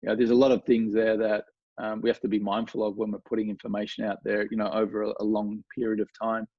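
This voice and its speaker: Relaxed Australian man, dull dry sound. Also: lowish voice, fast, dry recording. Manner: relaxed, rambling with many fillers and restarts. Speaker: golf coach, guest. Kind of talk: casual conversational interview.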